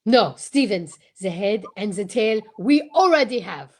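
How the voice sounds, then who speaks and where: A woman's voice: mid-range voice, expressive, a little slow, a little mellow. A woman playing an assured, courteous male Belgian detective, formal and theatrical, in a radio drama. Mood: concerned, knowing. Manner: formal, theatrical, peppered with French exclamations. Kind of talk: old-time radio detective drama acting.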